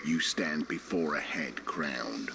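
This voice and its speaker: A man's voice: very deep voice, slow pace, vocal fry, noisy background, heavily acted. A man playing a menacing, hulking assassin in a video game, speaking in a commanding, formal manner. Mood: cold command. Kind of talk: video game cutscene acting.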